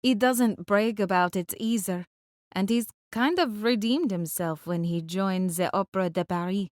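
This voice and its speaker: A woman voicing a romantic, unhurried man who likes to confide, in audiobook dialogue. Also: mid-range voice, a little mellow, no room sound, clean studio sound, lightly acted. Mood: confiding. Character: romantic, talkative man who guides visitors.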